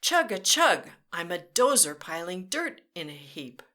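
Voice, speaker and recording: Slow, sing-song woman reading to kids. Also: fairly low pitch, sing-song, bright, forceful tone. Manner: slow, sing-song, playful sound effects; talks directly to children. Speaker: children's storyteller. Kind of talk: read-aloud storytime.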